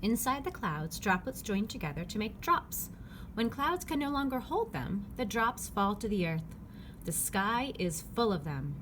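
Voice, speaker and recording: Woman, children's read-aloud, lively melodic intonation, medium pitch, clear simple phrasing, noisy background ambience. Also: measured pace. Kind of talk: children's picture-book read-aloud, scripted.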